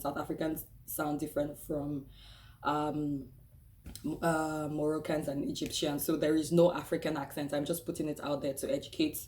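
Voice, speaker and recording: A woman's voice: low-pitched, a little mellow, a little hiss or hum. A woman with a Nigerian accent talking candidly in a casual vlog, with many "like"s and "um"s, laughing easily. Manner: chatty and candid, with frequent "like" and "um" and restarts; laughs easily and acts out quoted voices. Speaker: vlogger. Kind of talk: casual personal vlog talk.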